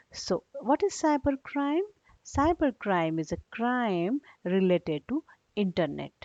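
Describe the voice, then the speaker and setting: A woman teacher takes a young class through a read-aloud lesson at a slow, measured pace. She restates terms simply and checks understanding with short questions. The recording is dull and low in fidelity. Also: darkish tone, dry recording.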